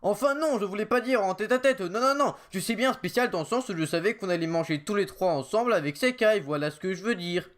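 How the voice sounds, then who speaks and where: A man's voice: high-pitched, fast, hardly any pauses, fairly bright tone. A man playing a timid, awkward teenage schoolboy in love in an anime fan dub, hesitant and whiny. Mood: flustered.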